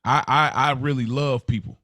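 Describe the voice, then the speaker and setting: A man's voice: medium pitch, steady tone, moderate pace, sharp tone, dry recording, studio quality. A man speaking as a podcast co-host in casual, reflective conversation, earnest and conversational in his delivery.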